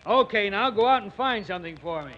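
A muffled old radio crime drama. an exasperated, rough-edged police sergeant with a high voice and blunt, slangy delivery. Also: male voice, expressive, fast. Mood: barking orders. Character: gruff, exasperated male police sergeant, unrefined. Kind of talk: 1940s radio acting.

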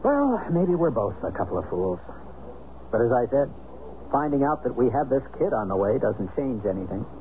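A man's voice: medium pitch, very animated, moderate pace, natural voice. An actor playing a jaunty, flustered American businessman and husband in a 1970s radio drama. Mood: frank, casual. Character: jaunty, flustered businessman and estranged husband, former college athlete. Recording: dull, noisy old radio recording.

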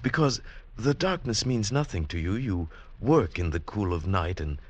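An actor in old-time radio drama playing an earnest, impassioned outsider in love, scorned as a village idiot. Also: male voice, on the low side, very animated, normal speed, husky. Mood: flustered. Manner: earnest, impassioned, hesitant in dialogue. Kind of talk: 1940s-50s radio drama acting and narration.

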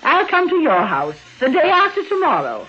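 An actress playing an outwardly composed, secretly murderous aunt in a 1940s radio mystery. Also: female voice, high voice, huge pitch swings, normal speed, bright, forceful tone, faint music behind, exaggerated voice. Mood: feigned cheerfulness. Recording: muffled vintage radio recording.

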